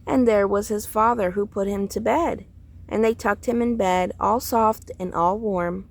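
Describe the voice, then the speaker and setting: Slow-reading woman with a creaky voice. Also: medium pitch, fairly even intonation. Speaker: storybook reader. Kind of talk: read-aloud children's story narration.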